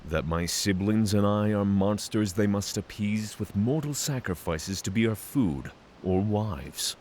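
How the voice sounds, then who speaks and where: A man acting as a giant dragon in mortal company, low-voiced with very mobile intonation. He is formal, candid and courteous, in a fantasy audio drama with hiss behind him. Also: lively intonation, a little slow, a little mellow. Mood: calm, candid. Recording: clear background hiss.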